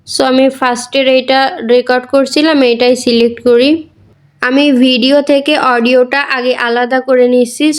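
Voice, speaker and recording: Woman, high-pitched, monotone, casual instructional tutorial narration, English words mixed in. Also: moderate pace, smooth. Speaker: tutorial creator. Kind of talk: casual how-to voice-over.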